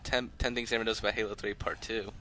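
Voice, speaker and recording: A man's voice: on the low side, flat delivery, rapid-fire, pushed and bright, a little hiss or hum. A man giving casual, unscripted gameplay commentary as a video host, talking in an easygoing, rambling, conversational way. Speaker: host of a gaming video channel.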